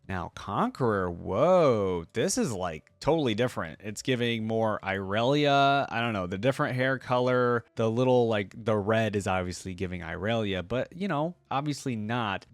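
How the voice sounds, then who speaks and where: A man's voice: medium pitch, dry recording. Casual man reacting, dry close sound. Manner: informal, thinking aloud, with exclamations. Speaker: video creator.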